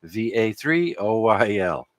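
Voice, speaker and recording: A man's voice: on the low side, sing-song, slow. A man, a native French speaker from Canada, hosting an amateur radio net in a casual, rambling, hesitant way.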